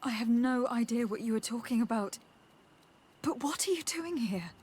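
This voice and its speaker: An actress playing an earnest, determined noblewoman diplomat in a video game, speaking in a formal, measured, probing way. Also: fairly high pitch, animated, a little mellow, slightly rough. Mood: worried. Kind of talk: dramatic video game cutscene acting.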